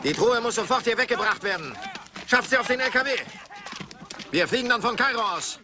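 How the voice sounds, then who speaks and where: An actor playing a self-satisfied Nazi colonel in a dubbed adventure film, brisk and commanding. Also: male voice, extremely high pitch, lively intonation, noisy background. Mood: urgent, commanding.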